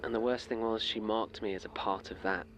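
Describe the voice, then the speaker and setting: A man's voice: on the low side, fairly even intonation, brisk, fairly bright tone, lightly acted, rough recording. An actor playing a bitter, resentful man looking back on betrayal in an audio drama. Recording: background noise and ambience.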